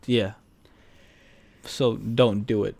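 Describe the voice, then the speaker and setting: A man's voice: on the low side, level tone, extremely slow, vocal fry, a little mellow. A man co-hosting a film review podcast in casual, blunt, slangy conversation. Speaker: podcast co-host reviewing films. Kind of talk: casual chat.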